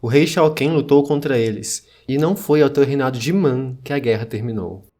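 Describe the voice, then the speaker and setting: A man plays a solemn keeper of ancient legends in an audio drama. He recites tales of creator gods in plain declarative lines, at a middling pitch. The delivery is scripted and grave, like scripture being handed down. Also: fairly quick, very noisy, close to an everyday voice. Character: ancient myth teller recounting serpent and dragon creators. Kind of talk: scripted mythic narration.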